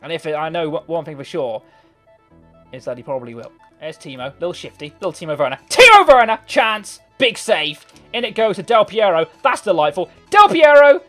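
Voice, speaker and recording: A hoarse young man calls his football video game match, muttering low through quiet spells and bursting into shouts at every chance. Light background music runs under his voice. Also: brisk. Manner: excitable, swings from muttering to shouting. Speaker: gaming commentator. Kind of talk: live game commentary. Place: hot bedroom.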